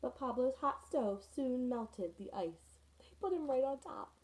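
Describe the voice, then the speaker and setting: Mellow-voiced woman reading a picture book. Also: high-pitched, measured pace, poor audio. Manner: warm, expressive storytelling with friendly asides. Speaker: teacher reading to her students. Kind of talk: children's picture book read-aloud.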